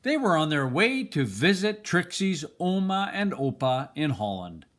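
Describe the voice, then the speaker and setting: An older man reads a picture book aloud to children at a very unhurried pace. His fairly high voice rises and falls widely, with deliberate dramatic pauses between phrases. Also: very animated, a bit bright. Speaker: storybook reader.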